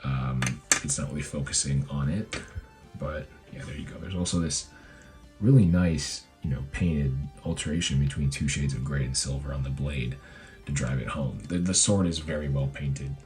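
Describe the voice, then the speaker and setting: A man with an extremely low, hoarse voice talks through a toy review in a loose, meandering way. He fills gaps with ums and likes and corrects himself as he goes. Faint music plays softly behind him. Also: moderate pace. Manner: rambling, filler-heavy, self-correcting. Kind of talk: casual product review.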